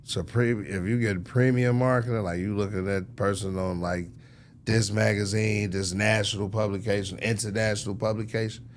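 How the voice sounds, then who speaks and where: A man's voice: fairly low pitch, steady tone, moderate pace. A man being interviewed as a record label executive, talking casually in slangy, informal language and often checking that the listener follows. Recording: clearly noisy background ambience.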